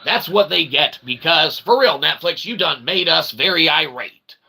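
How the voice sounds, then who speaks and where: A man delivers an opinionated monologue in a somewhat high, piercingly bright voice with a lot of gravelly fry. He stacks up grandiose, pseudo-scholarly phrases and slang, landing them with emphatic check-ins. There is faint noise behind him. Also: animated, normal speed. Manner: emphatic, verbose mock-academic phrasing mixed with slang; punctuates statements with "okay?" and "all right". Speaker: channel host, self-published author. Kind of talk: opinionated rant to viewers.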